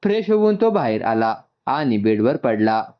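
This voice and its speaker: Man, storyteller narrator, steady even reading, medium pitch, muffled lo-fi audio. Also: normal speed.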